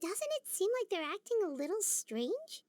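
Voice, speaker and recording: A woman voicing a tiny, curious fairy companion in a video game, squeaky, childlike, expressive and cartoonish. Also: normal speed, no echo. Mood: puzzled.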